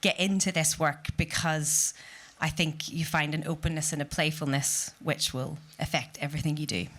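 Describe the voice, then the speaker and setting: A woman theatre practitioner giving a panel tip in an earnest, measured way. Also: low-pitched, fairly even intonation, a bit bright. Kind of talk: panel remarks.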